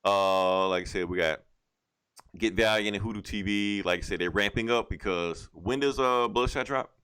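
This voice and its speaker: A man hosting a casual podcast, loose and halting as he thinks aloud. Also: on the low side, a bit bright, smooth, dry recording. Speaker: podcast host. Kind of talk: casual chat, show sign-off.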